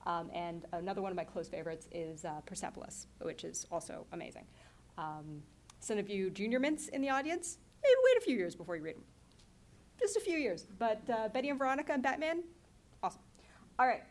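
A young woman, a comics creator, giving a lively, chatty talk and speaking directly to the audience. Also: mid-range voice, fairly quick.

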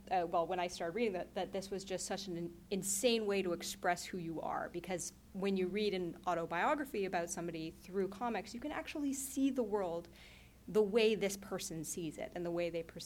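Chatty young woman, faint hiss. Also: lowish voice. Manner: lively, chatty, addresses the audience directly. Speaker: comics creator. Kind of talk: talk to an audience.

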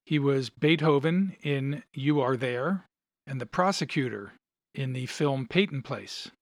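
A man hosting a show, giving scripted narration and commentary on an old radio drama in a measured, explanatory way. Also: medium pitch, no room sound, clean studio sound. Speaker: podcast host.

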